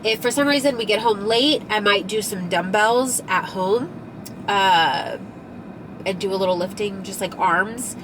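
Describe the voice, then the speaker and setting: A woman's voice: medium pitch, unhurried, background noise. Chatty woman, slightly nasal. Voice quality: a little nasal. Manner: chatty, rambling, lots of fillers. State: recovering from a cold. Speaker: lifestyle vlogger. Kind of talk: casual chatty vlog.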